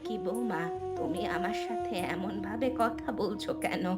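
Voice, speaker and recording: A woman voicing a sick, aggrieved old mother-in-law in a cartoon folk tale, with a weak, drawn-out voice. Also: fairly high pitch, big pitch swings, loud music behind the voice. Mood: hurt, reproachful. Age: older.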